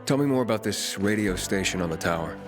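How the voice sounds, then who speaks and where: An actor playing a wary, driven young outsider hero in a video game, with terse, guarded delivery. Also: male voice, on the low side, lively intonation, fast talker, lightly acted. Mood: curious. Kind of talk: video game dialogue acting. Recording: music behind the voice.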